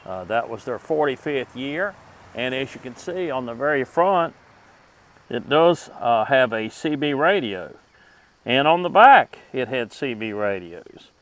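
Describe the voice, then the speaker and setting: Man presenting an informal, halting product review. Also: mid-range voice, animated, fairly bright tone. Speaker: host of a vintage radio review channel. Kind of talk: informal product review, talking through a demonstration.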